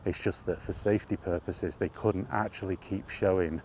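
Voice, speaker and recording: A man chatting casually on his own, enthusiastic but halting, with frequent ums and restarts. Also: low voice, steady tone, a little mellow, a little hiss or hum. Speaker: vlogger. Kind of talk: casual rambling monologue. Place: outdoors, walking.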